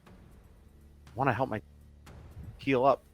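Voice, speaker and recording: Casual male tabletop player, mid-pitched. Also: steady tone, slow pace, vocal fry, a little mellow, faint music behind. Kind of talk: casual game chat.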